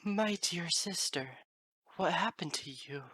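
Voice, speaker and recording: A man's voice: on the high side, slow, bright, forceful tone, breathy edge, dry recording, voice pushed up, exaggerated voice. Man doing a grave, sorrowful ancient queen. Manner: grave, solemn, strained.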